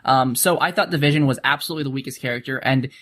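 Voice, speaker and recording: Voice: medium pitch, rapid-fire, a bit bright. A podcast panelist chatting casually and giving opinions in a blunt way. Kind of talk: casual chat discussion.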